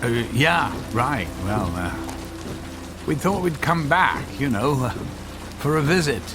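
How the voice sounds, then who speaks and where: An actor playing an anxious, guilt-ridden inventor in a radio drama, speaking hesitantly with stammers. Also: male voice, mid-range voice, very animated, takes it slowly, music in the background. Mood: flustered, evasive. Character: anxious, guilt-ridden male inventor hopping between dimensions.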